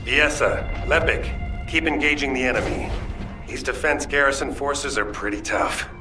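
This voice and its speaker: A man voicing a driven professor who leads a combat squad in a video game, brisk and commanding. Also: mid-range voice, natural voice. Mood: focused. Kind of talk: scripted game dialogue. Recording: slightly distant, loud music behind.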